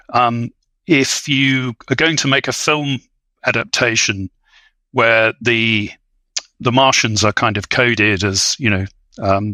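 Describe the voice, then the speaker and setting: A man speaking as an expert guest in an academic discussion, hesitant and scholarly, often pausing to rephrase. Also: medium pitch, bright, forceful tone, dry recording. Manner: halting, hedging, scholarly, frequent "you know". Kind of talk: academic discussion on a film podcast.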